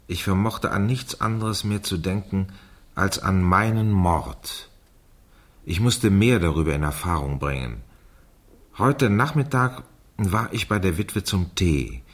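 A brooding crime-story narrator with a deep, husky voice. He speaks slowly and deliberately, in the measured style of a radio play. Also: a bit bright, exaggerated voice.

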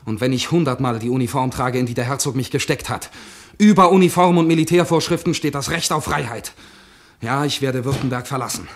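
A man's voice: mid-range voice, fairly quick, close to an everyday voice. An actor playing a defiant young male poet in a radio drama, speaking in an impassioned, declamatory way. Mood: determined. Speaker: army doctor and playwright. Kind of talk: 1950s-style radio drama acting.

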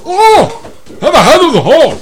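A man's voice: very high-pitched, wildly swooping pitch, a little slow, pitched above the performer's own voice, exaggerated voice. A man voicing a primitive caveman driver who hoots and calls out in a noisy audio-play recording. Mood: hooting, calling out.

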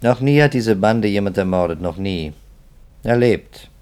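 An actor playing a skeptical, matter-of-fact male police detective in a 1950s radio drama, brisk and businesslike. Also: fairly low pitch, expressive, darkish tone, clear, resonant tone. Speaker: police investigator.

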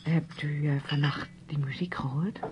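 A woman's voice: deep voice, fairly even intonation, moderate pace, close to an everyday voice. An actress playing a reserved, polite niece in her thirties in a radio play, subdued and hesitant in her short replies. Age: 30s. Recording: muffled old radio recording, faint noise.

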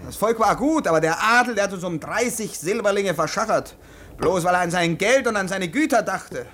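An actor in a German radio play as a jovial, talkative Polish debt collector, courteous and effusive. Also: male voice, high voice, animated, brisk, fairly bright tone. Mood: expansive, patriotic. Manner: effusive, chatty, courtly politeness.

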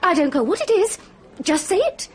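An actress playing an outspoken young woman doctor with an English accent in a radio drama, forthright and expressive. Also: extremely high pitch, normal speed, slight background noise. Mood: scornful, exasperated.

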